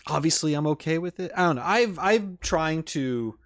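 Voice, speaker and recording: A man's voice: on the high side, lively intonation, a bit bright, no echo, studio quality. A man hosting a reaction video with casual, rambling commentary, often breaking off mid-thought and restarting his sentences. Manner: halting, self-interrupting, trailing off mid-thought; casual swearing.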